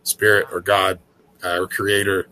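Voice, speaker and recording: A man's voice: deep voice, completely flat delivery, measured pace, sharp tone, low-quality recording. A man in his forties who grew up in a small town, hosting an earnest, casual conversation about spirituality and now and then swearing to make a point. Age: 40s. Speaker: spiritual host.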